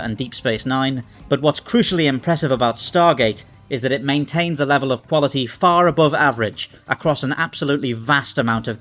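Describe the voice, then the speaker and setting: A man's voice: mid-range voice, fairly bright tone. Articulate man narrating over music. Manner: articulate, analytical. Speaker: video essay host, writer and analyst. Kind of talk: scripted video essay narration. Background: background music.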